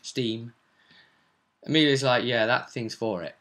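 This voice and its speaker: A man's voice: mid-range voice, measured pace, fairly bright tone. A man chatting casually as a game master, recapping a tabletop role-play session and acting out bits as he goes.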